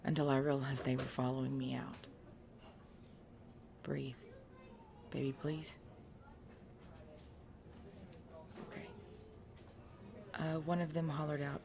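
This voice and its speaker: A woman playing a tough, weary, injured biker girlfriend in an ASMR roleplay audio drama, speaking haltingly and protective of her boyfriend. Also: rumbling low, flat delivery, creaky, background noise, heavily acted. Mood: tense recounting.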